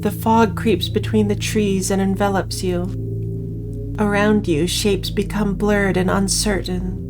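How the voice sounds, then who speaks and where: A woman's voice: mid-range voice, little pitch movement, unhurried. A woman reading a visual novel aloud and reacting as she plays, with faint music behind her. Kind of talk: narrating and reacting during game playthrough.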